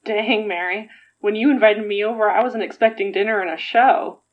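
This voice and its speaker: A woman's voice: medium pitch, fairly even intonation, moderate pace, dull audio, natural voice. Droll, teasing friend, played by a woman. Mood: amused. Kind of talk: audio fiction podcast acting.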